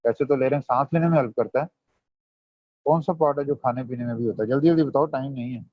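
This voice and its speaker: A man's voice: medium pitch, quick pace, dry recording. A man gives an online medical revision lecture, quizzing students and mixing Hindi with English terms. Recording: dull dry lo-fi audio.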